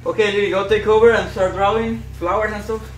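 A man's voice: sits high, fairly even intonation, fast, slight background noise. A young adult man hosting a livestream, chatting casually and upbeat as he talks directly to viewers. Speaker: livestream host reading viewer comments. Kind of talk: casual excited stream chat. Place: indoor room, on webcam. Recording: echoey room with music behind.

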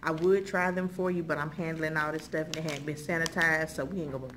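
A woman's voice: low-pitched, fairly even intonation, fast. A middle-aged woman with an American accent, chatting casually and folksily to viewers as she presents items, often checking in with short tags. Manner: folksy, conversational, frequent check-in tags like okay and all right. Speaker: haul video host. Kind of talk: casual chat showing purchases to viewers. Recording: clearly noisy room recording.

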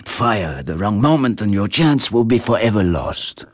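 An actor playing a vengeful, commanding middle-aged Soviet sniper in a video game, with a Russian accent, a gravelly, husky voice and dramatic, deliberate delivery. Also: male voice, fairly low pitch, very animated. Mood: grim, low intensity. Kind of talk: video game character acting.

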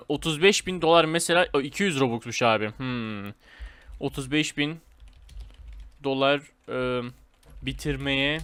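A man giving casual, excitable gameplay commentary in informal slang, talking straight to his viewers. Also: a little high, no room sound. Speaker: gaming video host.

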